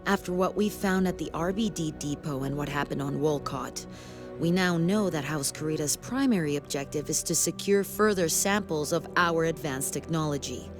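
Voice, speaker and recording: An actress playing a stern, authoritative sci-fi military commander in a video game, with formal, clipped briefing delivery. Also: female voice, low-pitched, normal speed, over music, natural voice. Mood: grave, resolute. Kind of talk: video game cutscene acting.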